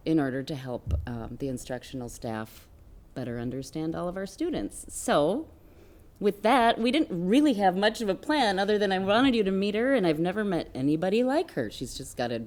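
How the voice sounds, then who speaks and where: A woman's voice: fairly low pitch, lively intonation, normal speed, darkish tone. An American woman giving an informal spoken introduction at a meeting, as a school staff member would. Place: meeting room at a school board session.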